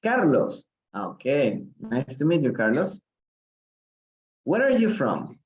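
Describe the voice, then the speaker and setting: A man from Peru runs a live online English reading class, encouraging each student and hedging with lots of fillers. His pitch rises and falls with plenty of movement. The audio comes through dull and lo-fi, like a livestream feed. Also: wildly swooping pitch, takes it slowly. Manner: encouraging, hesitant with many fillers. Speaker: English teacher. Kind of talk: live online reading lesson, switching to Spanish. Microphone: livestream call audio.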